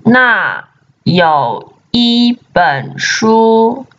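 A woman teacher drills vocabulary at an extremely slow pace, repeating each item deliberately for learners. Her voice sits fairly low but moves through a lively, sing-song range of pitch. The recording is dull and lo-fi. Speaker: language teacher. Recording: dull lo-fi sound.

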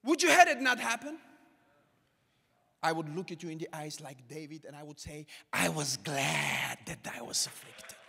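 A young man preaching a sermon to a congregation with a handheld mic, swinging from shouted calls to hushed asides. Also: fairly high pitch, lively intonation, normal speed, gravelly, breathy edge. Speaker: pastor. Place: large church auditorium with congregation. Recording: long hall reverb from the sanctuary.

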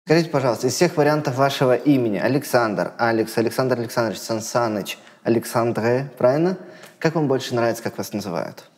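A man in his thirties hosting an interview, asking quick questions in a calm, measured way on a lapel mic in a studio. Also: medium pitch, big-room sound. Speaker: host, interviewer. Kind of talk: rapid-fire interview questions. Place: studio room with plants.

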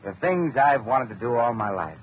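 A man's voice: medium pitch, expressive, normal speed, a little hiss or hum, natural voice. A man playing a bitter, mocking older scientist who is dying, in 1940s radio acting style, with a sardonic, brooding delivery. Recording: tinny lo-fi old radio recording.

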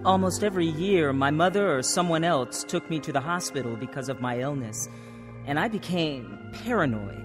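A woman's voice: low voice, lively intonation, normal speed. Troubled woman over music. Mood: somber, reflective. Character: troubled, confused adult daughter telling her own story. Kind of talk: radio drama narration and acting.

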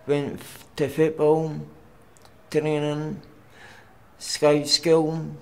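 A young Glaswegian man speaks slowly and haltingly, pausing often as he tells his own story. His voice sits a little high for a man. He is recorded close up outdoors on a city street at night. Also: monotone, creaky, rough recording. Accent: Glaswegian Scottish. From Scotland. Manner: halting, plain and slow, with pauses and fillers. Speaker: documentary interviewee. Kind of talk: personal testimony to camera.

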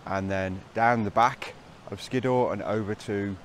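A man's voice: on the low side, steady tone, unhurried, a little hiss or hum. Chatty middle-aged man hiking outdoors. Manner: chatty, upbeat, laughs between remarks. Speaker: hiking vlogger. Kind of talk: casual vlog narration. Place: outdoors on open fell hillside. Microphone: handheld camera at arm's length.

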